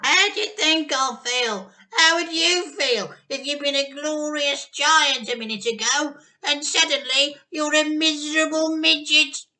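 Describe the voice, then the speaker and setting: A man's voice: very high voice, unhurried, few pauses, voice pushed up, heavily acted, rough recording. Man acting a furious tiny old granny. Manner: indignant yelling.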